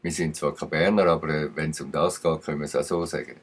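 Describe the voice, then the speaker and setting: A man's voice: a bass voice, fairly even intonation, fairly quick, slightly rough. A man with a Swiss German accent giving rambling commentary, emphatic and digressive, with uneven bursts. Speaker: channel commentator.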